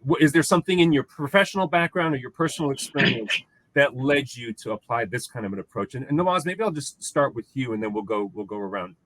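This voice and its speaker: A man's voice: mid-range voice, fairly bright tone, no room sound, low-quality recording. A man moderating a panel discussion, introducing guests and asking questions with frequent restarts and fillers. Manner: halting, many restarts and fillers.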